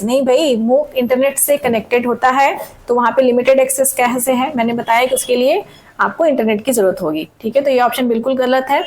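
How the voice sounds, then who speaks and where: A woman with a sore throat teaching an exam-prep lesson, reading questions out slowly and checking in with students often. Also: sits high, a little mellow, low-quality recording. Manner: frequent "theek hai" checks, reads questions slowly in English. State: sore throat, voice cracks at times. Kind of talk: exam-prep lesson mixing Hindi and English.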